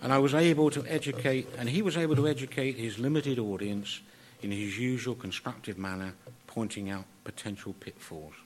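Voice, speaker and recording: Measured man giving a eulogy, noisy recording. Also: medium pitch. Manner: measured, courteous, wordy. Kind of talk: formal prepared eulogy. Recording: clear background noise, live event.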